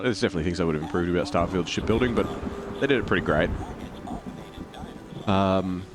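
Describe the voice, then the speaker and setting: A man with a croaky, flu-hoarse voice chatting casually while streaming a game and greeting viewers. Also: low voice. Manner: rambling, reads out chat and greets viewers. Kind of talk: casual gameplay stream chat.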